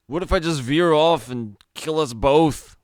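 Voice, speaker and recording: A timid, cowardly man, as a male storyteller puts him on, pitched far above the reader's own voice. He is nervous and pleading, stammering in a clear, dry sound. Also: a little slow, a little mellow, no echo, natural voice. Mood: nervous panic. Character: meek, cowardly, panicky man begging for his life.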